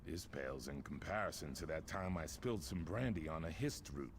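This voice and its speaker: Game role: gloomy reptilian drifter, extremely low voice, world-weary and rueful, slight background noise. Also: male voice, expressive, a little fast. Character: gloomy, hard-luck lizard-man drifter. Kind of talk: video game character acting.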